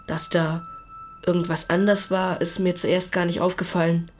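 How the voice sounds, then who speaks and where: A woman's voice: fairly low pitch, level tone, moderate pace, darkish tone, natural voice. Woman doing a schoolboy in a radio play, hesitant n a bit defensive, slight noise behind. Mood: hesitant recounting. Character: schoolboy Tobias, hesitant, earnest, secretive witness. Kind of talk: naturalistic German radio drama dialogue.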